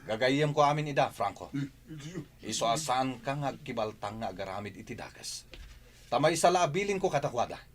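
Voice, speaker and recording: A man's voice: mid-range voice, lively intonation, moderate pace, natural voice. A man with an Ilocano accent playing a stern, wronged man confronting his rival in a radio drama. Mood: defiant, angry. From Philippines.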